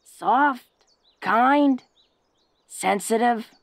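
A woman's voice: on the high side, drawn-out words, dry recording. A woman playing a tough but awkward, tender-hearted young female orc in a romantic audio roleplay, speaking in a folksy, hesitant, earnest way. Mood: shy, affectionate.

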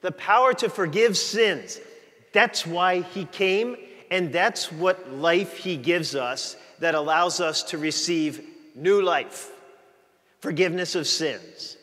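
Chatty priest in a reverberant church. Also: male voice, high-pitched, slow, sharp tone. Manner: chatty, joking with the congregation. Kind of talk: conversational sermon. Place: large church, walking the aisle before the altar.

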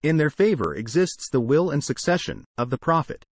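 A man reads a religious text in a measured, declamatory way, his pitch rising and falling with lively emphasis. The voice is close and dry, with no room sound around it. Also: no room sound. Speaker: sermon reader. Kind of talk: solemn scripted narration over slideshow.

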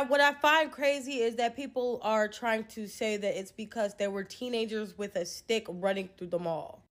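Young woman, 20s, casual phone-to-camera commentary; emphatic, profane, incredulous. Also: fairly high pitch. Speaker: social media commentator. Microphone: phone held close, selfie framing.

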